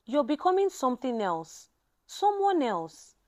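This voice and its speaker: Woman voicing a worried, pleading man, high-pitched. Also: sing-song, measured pace, darkish tone. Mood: frustrated, afraid. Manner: earnest, pleading. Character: worried, frustrated man pleading with his close companion.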